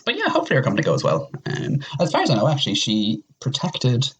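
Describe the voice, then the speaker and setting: A man's voice: medium pitch, wildly swooping pitch, quick pace, fairly bright tone, breathy edge. A man in casual podcast conversation, speaking bluntly and informally with some swearing.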